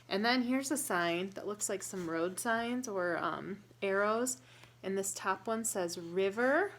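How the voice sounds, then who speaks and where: A woman reading a picture book aloud to young children, pausing to explain and ask questions in a deliberate, instructive way. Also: on the low side, a little hiss or hum.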